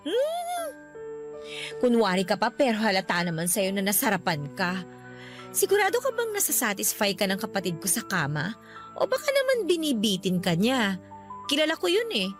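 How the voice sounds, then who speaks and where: An actress playing a scheming, flirtatious younger sister in a radio drama, with a teasing, taunting, giggly manner. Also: female voice, fairly high pitch, fairly bright tone. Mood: seductive, taunting. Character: scheming, flirtatious younger sister seducing her brother-in-law. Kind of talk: Tagalog radio drama acting.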